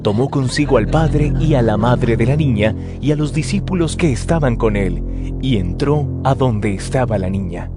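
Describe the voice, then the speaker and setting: Male narrator, expressive, lively intonation, mid pitch, scripture storytelling with faint music underneath. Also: normal speed.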